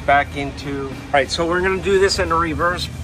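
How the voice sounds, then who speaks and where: A man's voice: on the high side, brisk, over music. A man working as a technician, explaining a hands-on repair step by step in an instructional way. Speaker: marine electrical technician presenting repairs. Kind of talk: hands-on tutorial commentary.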